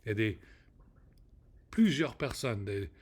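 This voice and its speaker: A man's voice: lowish voice, lively intonation, unhurried, a little mellow, slightly rough. A man hosting his own podcast, talking casually in with many restarts and fillers and slipping into English words. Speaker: podcast host. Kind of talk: casual monologue to camera.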